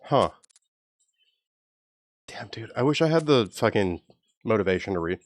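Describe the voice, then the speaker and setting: A young man with a low, even voice, chatting casually and swearing freely with his live stream chat. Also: a little slow, no room sound, studio quality. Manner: laid-back, profane, joking with chat.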